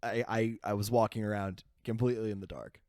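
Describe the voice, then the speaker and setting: A young man in his twenties with a lowish voice chats loosely on a podcast, rambling and joking at his own expense. He trips over restarts as he goes. The sound is dry and close, with no room around it. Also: steady tone, a little fast, darkish tone, no room sound. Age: 20s. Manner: rambling, self-deprecating, trails into restarts. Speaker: podcast co-host. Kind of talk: casual banter.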